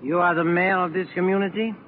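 An actor playing a condescending, coolly threatening Nazi occupation officer in a 1940s radio drama. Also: male voice, high-pitched, fairly even intonation, fast talker, close to an everyday voice. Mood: coolly menacing. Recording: tinny, noisy 1940s radio recording.

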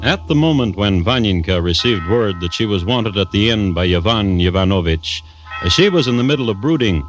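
An actor playing a wry storyteller, narrating a classic radio drama in scripted style. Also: male voice, on the low side, animated, normal speed, close to an everyday voice. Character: wry, unhurried male storyteller of a dark tale. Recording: dull old radio recording. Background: music.

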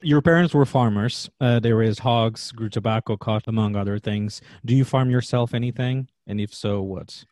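A middle-aged man from Portugal with a Portuguese accent, hosting a food podcast with an upbeat, energetic manner. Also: medium pitch, moderate pace, few pauses, no echo, studio quality. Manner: energetic upbeat intro, quieter when asking questions. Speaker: podcast host and chef. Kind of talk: podcast interview and ad read.

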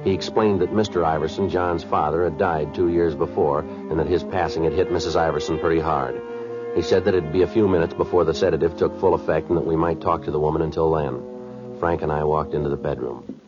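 An actor playing a stoic, courteous police detective in a 1950s radio drama, narrating and questioning in a terse, deadpan way. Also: male voice, very deep voice, fairly quick. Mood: flat, factual. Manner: deadpan, terse, matter-of-fact. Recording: muffled vintage radio recording with music.

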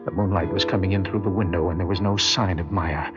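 A man's voice: low-pitched, fairly even intonation, fast, lightly acted. An actor playing a nervy, guilt-ridden burglar who tells his crime in a hard-boiled radio drama style. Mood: tense, brooding. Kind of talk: radio drama acting with first-person noir narration. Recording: muffled vintage radio recording, music behind.

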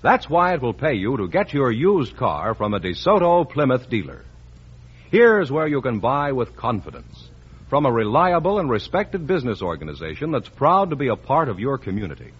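Brief: male announcer, middle-aged, husky, animated delivery, dull old radio sound. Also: mid-range voice, normal speed. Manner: polished, emphatic announcer delivery. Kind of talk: scripted announcing and ad read.

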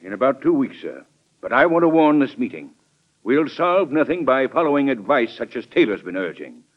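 An actor playing a proud, idealistic Secretary of State in an old-time radio drama, formal, emphatic and declamatory. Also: male voice, medium pitch, sing-song, moderate pace. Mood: indignant. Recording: dull vintage radio recording.

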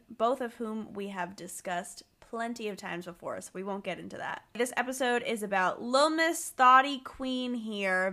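Bright-voiced woman co-host in her twenties. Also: fairly high pitch. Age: 20s. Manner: giggly, agreeable. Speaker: podcast co-host. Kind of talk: casual rewatch podcast chat.

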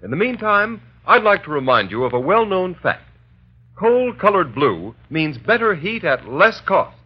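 Husky, animated 1930s radio announcer man. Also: sits high, measured pace. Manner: emphatic, formal. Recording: muffled 1930s radio recording.

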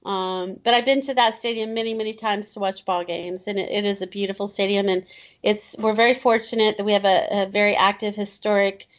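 A woman's voice: medium pitch, steady tone, brisk. A woman in her fifties from Indiana, chatting as an interview guest with many fillers and restarts, laughing now and then. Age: 50s. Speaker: children's book author, guest. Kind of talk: casual interview chat. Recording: slightly dull lo-fi audio.

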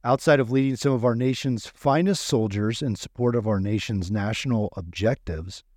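A man's voice: mid-range voice, moderate pace, a little mellow, no echo, studio quality. A man co-hosting an interview podcast in a casual, conversational style. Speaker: podcast co-host.

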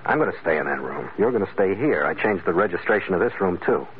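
An actor playing a tough, wry sea captain sleuth in a classic radio detective drama, clipped and sardonic. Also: male voice, sits low, lively intonation, fast. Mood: calm, reassuring. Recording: noisy vintage radio broadcast, muffled.

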